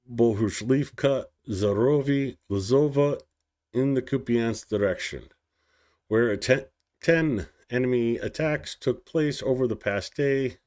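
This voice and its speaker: Man, news stream host, slow deliberate reading, mid-pitched voice, stumbles over place names. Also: darkish tone. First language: English. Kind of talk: reading war news aloud and commenting.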